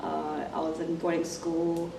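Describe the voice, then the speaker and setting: A woman from Zimbabwe, introducing herself as a musician in a soft, halting way, with many fillers. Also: low-pitched, monotone, gravelly. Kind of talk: casual self-introduction to camera. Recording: roomy, distant mic, faint noise.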